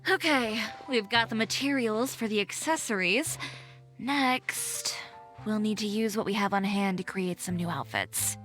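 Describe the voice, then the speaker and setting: A woman's voice: medium pitch, a little slow, close to an everyday voice. A woman voicing a cool, self-assured, driven fashion designer in a video game, speaking in a wry, confident way. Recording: game audio with soft music behind.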